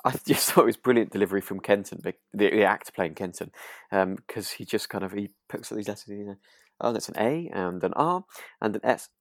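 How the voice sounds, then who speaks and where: A man's voice: on the low side, brisk, no room sound, studio quality. A man co-hosting a podcast in casual chat, enthusiastic and often repeating words as he finds his phrasing. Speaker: podcast co-host.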